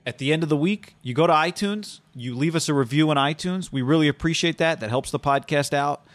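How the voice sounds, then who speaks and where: A man, co-hosting a sports podcast in a laid-back, halting way and reading plugs for the show. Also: a little high. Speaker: podcast co-host.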